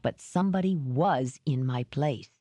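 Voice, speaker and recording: A woman voicing a gruff, remorseful male ex-cop in an audiobook, speaking low, slow and measured. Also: warm tone, no echo. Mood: probing. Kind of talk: audiobook character reading.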